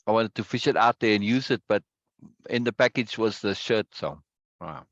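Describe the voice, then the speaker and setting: A man hosting a casual video-call chat, talkative and quick to repeat himself and laugh. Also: on the low side, a bit bright, dry recording, lo-fi sound. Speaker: meeting host.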